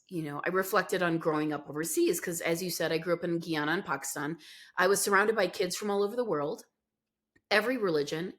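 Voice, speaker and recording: A middle-aged American woman with a somewhat low voice tells her life story on a podcast. She is earnest and reflective, trailing into fillers as she thinks. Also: fairly bright tone. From United States. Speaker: podcast guest. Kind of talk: candid conversational storytelling.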